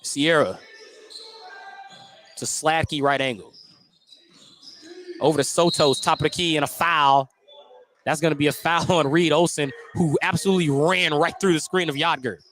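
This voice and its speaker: Male sports play-by-play announcer, voice a bit on the high side, live from a gym, clipped and detailed. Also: fairly even intonation, moderate pace, long pauses, a bit bright. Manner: detailed, clipped running call of each play. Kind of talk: live radio sports commentary. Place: indoor high school gymnasium.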